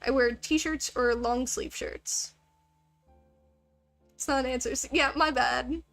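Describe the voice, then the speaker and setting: A woman's voice: high-pitched, fairly even intonation, takes it slowly, creaky, a bit bright, soft background music. A woman livestreaming a game, chatting casually with viewers in a joking, self-deprecating way. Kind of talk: casual rambling chat with viewers.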